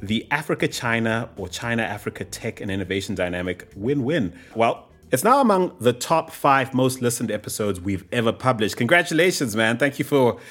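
A man hosting a podcast interview, lively and reading out guest bios at length. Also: mid-range voice, normal speed, few pauses, soft background music. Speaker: podcast host.

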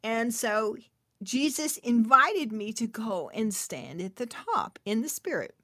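Slow, soft-spoken woman telling a testimony. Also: a little high, expressive, no room sound, studio quality. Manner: soft, intimate storytelling with laughs. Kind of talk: testimony sermon.

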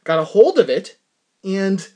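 High-voiced man rambling on a podcast. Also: a little slow, muffled recording. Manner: animated, self-interrupting, repeats words for comic emphasis. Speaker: podcast host. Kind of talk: rambling casual podcast monologue.

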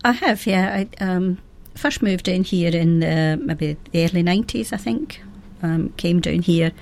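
A woman in her seventies with a Scottish accent from Fife, a radio guest who talks with many pauses and little laughs. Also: sits low, gravelly, a little mellow. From Scotland. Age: 70s. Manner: hesitant, many ums, laughs often. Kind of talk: radio interview.

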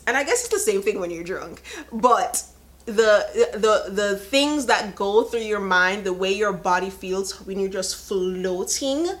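Frank, unhurried woman in her thirties. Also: mid-range voice, fairly bright tone. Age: 30s. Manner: frank, chatty, laughs often. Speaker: podcast host. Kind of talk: casual live-stream chat.

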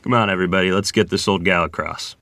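A man's voice: sits low, fast talker, pushed and bright, no echo, studio quality. A man playing a rugged, easygoing ferryman of thirty-five, folksy and laconic, at a table read of a period drama. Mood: calm, commanding.

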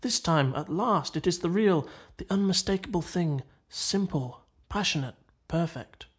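A man's voice: a little high, expressive, a little slow, husky, pitched above the performer's own voice. A man voicing a poetic water rat in a storybook, murmuring in a dreamy, languid way. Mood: languid, rapt. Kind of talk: storybook character dialogue.

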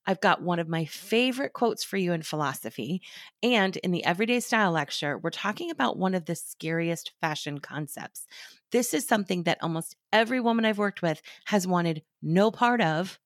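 A woman's voice: on the low side, animated, moderate pace, no room sound, clean studio sound. A middle-aged woman hosting a style podcast in a friendly, chatty way, her voice a little scratchy from a recent cold. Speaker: podcast host, stylist. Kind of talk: scripted podcast talk.

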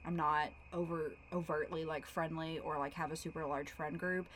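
A young Texan woman thinking out loud in a measured, reflective way during a casual chat. Her voice sits slightly low. Faint noise runs under her talk. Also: level tone. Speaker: podcast co-host.

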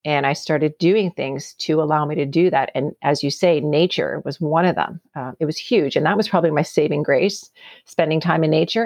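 A woman from Canada with a gravelly voice, a guest sharing a personal story in an earnest, heartfelt way. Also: deep voice, darkish tone, no room sound. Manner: earnest, heartfelt, often repeats words.

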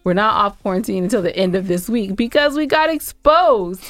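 A woman's voice: mid-range voice, animated, normal speed, fairly bright tone, dry recording. An American woman co-hosting a podcast, chatting casually and giving show updates with playful banter. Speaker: podcast co-host.

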